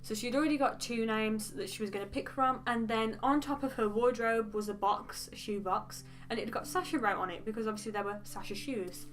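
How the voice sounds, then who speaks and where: A woman's voice: a little high, a little fast, few pauses, a bit bright, slight background noise. A young woman from the English Midlands with a West Midlands accent, chatting frankly and rambling with asides in a casual get-to-know-me vlog. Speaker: beauty vlogger.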